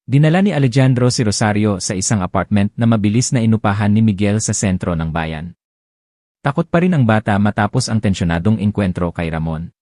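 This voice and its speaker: A man narrating a romance audiobook with dramatic, expressive delivery. His voice sits low and mellow, with animated swings in pitch. The recording is close and dry. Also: fairly quick, dry recording. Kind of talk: scripted narration.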